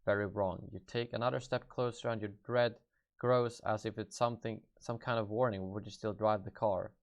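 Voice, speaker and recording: A man's voice: fairly low pitch, steady tone, normal speed, vocal fry. Hesitant young Swedish man. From Sweden. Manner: thinks aloud, hesitant and offhand. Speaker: gaming YouTuber. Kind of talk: live-stream Q&A, reading viewer questions aloud.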